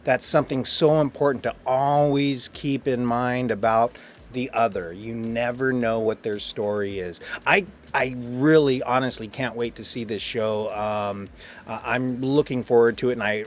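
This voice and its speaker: A man's voice: mid-range voice, a little hiss or hum. A man hosting a casual interview. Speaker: interviewer, host.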